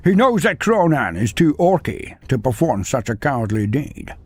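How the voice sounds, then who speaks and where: A man's voice: medium pitch, sing-song, normal speed, breathy edge, no echo. A man narrating a fan-made war story in dramatic storytelling fashion. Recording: dry close mic with faint music.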